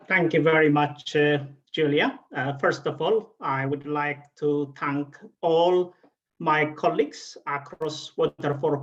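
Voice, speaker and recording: A middle-aged man with an Ethiopian accent, a guest speaker at an online event, telling his personal story haltingly with frequent pauses. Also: a little high, dull audio. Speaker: regional director, guest speaker.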